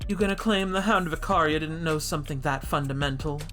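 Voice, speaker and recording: A man's voice: a little high, fairly quick, pushed and bright, soft background music, pitched above the performer's own voice. Man reading blunt, jaded crime-scene investigator. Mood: accusing.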